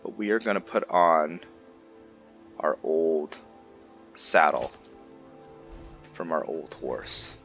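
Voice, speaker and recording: A man's voice: extremely low pitch, expressive, takes it slowly, long pauses, a bit bright, muffled recording, soft background music. A man hosting a gameplay video, talking informally and thinking aloud to his viewers in casual roleplay commentary. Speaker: gaming video host.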